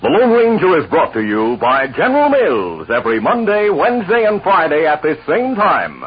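A man's voice: fairly high pitch, wildly swooping pitch, moderate pace, breathy edge. A man announcing and narrating a 1950s radio show in a dramatic, emphatic style. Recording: dull old radio recording.

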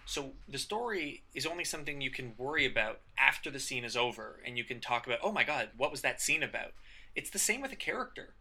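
Bright, animated man riffing on improv. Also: mid-range voice, fast, husky. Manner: animated, swearing for emphasis, riffs on examples. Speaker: improv podcast co-host. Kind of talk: casual instructional chat.

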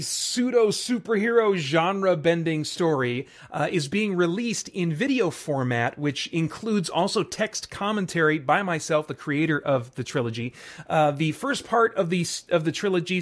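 Higher-voiced man hosting a casual podcast. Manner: informal, addresses listeners directly. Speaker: podcast host. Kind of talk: casual solo podcast talk.

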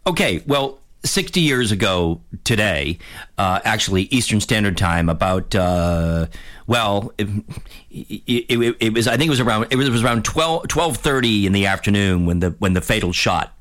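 A man of fifty hosting a radio talk show, giving a blunt, rambling opinion monologue with many restarts, his voice getting hoarse. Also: fairly low pitch, lively intonation, moderate pace, a bit bright. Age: 50s. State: losing his voice.

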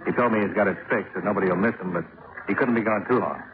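An American actor playing a hard-boiled, wry investigator, narrating a 1950s radio detective drama in a clipped, matter-of-fact way. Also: male voice, on the low side, fast talker, natural voice. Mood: brisk reasoning. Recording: tinny old 1950s radio recording, music behind.

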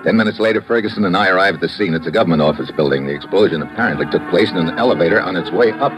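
An American actor playing a tough, wisecracking government agent in a 1950s radio adventure, wry and clipped. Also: male voice, low-pitched, racing, lightly acted. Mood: hard-boiled narrating. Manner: hard-boiled, wry, clipped slangy talk. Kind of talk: 1950s radio adventure acting, narration and dialogue. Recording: old 1950s radio recording with music.

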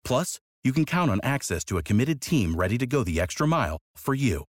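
A man with a husky, breathy voice reads a scripted ad, his pitch rising and falling in a lively sweep. It sounds close and dry, with no room around it. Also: brisk, no echo. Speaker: ad announcer. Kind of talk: scripted ad read.

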